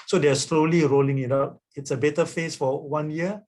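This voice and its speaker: A man's voice: a little high, steady tone, normal speed, a little mellow. A man, an author and guest speaker, answering a question in a webinar, explaining at length and often adding "right?".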